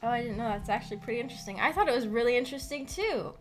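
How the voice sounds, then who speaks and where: High-voiced woman hosting a casual stream. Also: animated, rapid-fire, soft background music. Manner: giggly, breaks into small laughs often. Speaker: host of a visual novel book club stream. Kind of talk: casual livestream discussion with chat.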